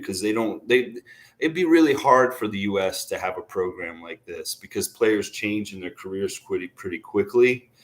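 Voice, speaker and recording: A man talks off the cuff like a devoted sports fan. He is enthusiastic and rambling, often circling back to repeat a point for emphasis. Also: fairly low pitch. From Canada. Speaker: sports vlogger, basketball fan commentator. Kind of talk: casual unscripted fan commentary.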